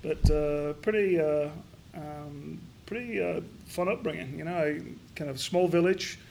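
A man's voice: fairly high pitch, measured pace, gravelly, darkish tone, a little hiss or hum. Middle-aged man from Yorkshire, thoughtful. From Yorkshire, England. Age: 50s. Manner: hesitant, many restarts and fillers. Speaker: business founder guest. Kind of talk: reflective interview answers.

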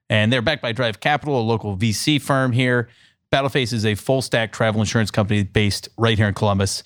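A man hosts a casual podcast. His voice sits a little low, and the sound is dry with no room echo. He reads an introduction, then slips into relaxed, friendly chatter. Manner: reads a sponsor intro, then chats loosely with laughs. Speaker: podcast host.

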